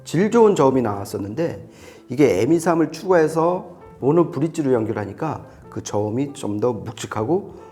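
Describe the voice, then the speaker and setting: Bright, lively man explaining audio gear. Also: mid-range voice, moderate pace, faint music behind. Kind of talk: conversational product explainer.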